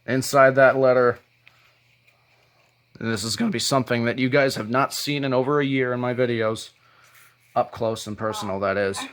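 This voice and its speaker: A man talking in a deliberate, sentimental way, as in a casual show-and-tell video, sometimes reading aloud. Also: mid-range voice, smooth. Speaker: YouTube video creator.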